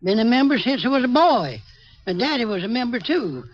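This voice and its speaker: An actor playing a devout, simple doomsday cultist, folksy, pious and preachy, in 1950s radio drama style. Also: male voice, very high voice, very animated, a little fast, heavily acted. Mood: pious, indignant. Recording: muffled old radio recording.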